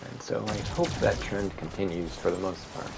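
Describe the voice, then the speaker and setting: Low gravelly monotone man. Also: moderate pace. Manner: monotone, rambling, with many fillers. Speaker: gaming video creator. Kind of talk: casual gameplay commentary. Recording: steady background noise.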